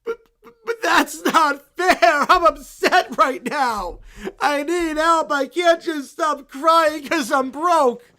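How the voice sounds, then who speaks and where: A man voicing a group of entitled, whining cartoon kids who complain dramatically and shout. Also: extremely high pitch, animated, a little slow, gravelly, heavily acted. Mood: whiny.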